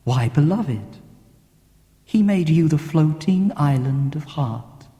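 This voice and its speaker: A man's voice: a little high, expressive, slow pace, mellow, pitched above the performer's own voice. A man voicing an intense, tenderly urging, mysterious woman in a theatrical audiobook reading. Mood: tender, resolute. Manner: theatrical, addresses listener as beloved. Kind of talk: dramatic audiobook character reading. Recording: cassette audiobook with long reverb.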